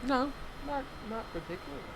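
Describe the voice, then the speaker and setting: A man hosting a livestream, chatting casually and excitedly, often restarting his sentences. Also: very high-pitched, fairly quick, background noise. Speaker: livestream host. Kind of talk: casual gaming chat.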